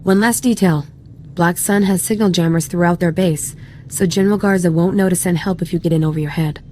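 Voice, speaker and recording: Actress as a low-voiced, wry female army sergeant. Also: fairly even intonation, dark tone, a little hiss or hum, lightly acted. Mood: brisk briefing. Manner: brisk, wry, casual military delivery. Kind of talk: video game voice acting, mission briefing dialogue.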